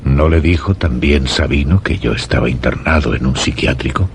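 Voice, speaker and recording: An actor playing an obsessive, unhinged widowed master of a house in a suspense radio drama, courteous yet intense. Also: male voice, extremely low pitch, moderate pace, slight background noise. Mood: controlled, courteous. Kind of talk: Spanish-language suspense radio drama acting.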